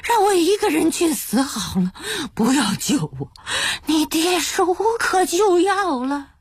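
A woman narrator voicing a desperate opium-addicted father in withdrawal, hoarse and strained, pleading and shouting. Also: very high-pitched, very animated, measured pace, dry recording, pitched above the performer's own voice, exaggerated voice. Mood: desperate begging.

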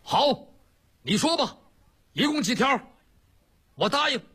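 Man acting rash filial outlaw, storytelling style, very raspy, wide swooping pitch, loud, pleading. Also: big pitch swings, slow, heavily acted. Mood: eager. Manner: loud, blunt, wailing and pleading. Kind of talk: traditional Chinese storytelling performance.

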